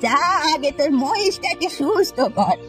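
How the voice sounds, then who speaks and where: A woman's voice: high-pitched, lively intonation, normal speed, soft background music. A woman playing a watchful elderly village granny in a cartoon, knowing and admonishing. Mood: knowing, disapproving. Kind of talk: cartoon dialogue acting.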